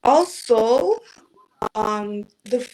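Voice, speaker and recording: A woman's voice: on the high side, animated, drawn-out words, background noise. Young woman, upbeat interview answers. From Nigeria. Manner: earnest, explains with everyday examples.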